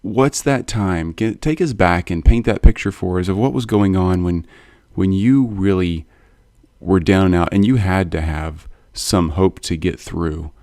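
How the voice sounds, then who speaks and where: Male podcast host, deep voice, dry recording; rambling, hesitant questioner. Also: a little slow, a little mellow, no echo. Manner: meandering, hesitant, many fillers and restarts. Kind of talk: interview questions.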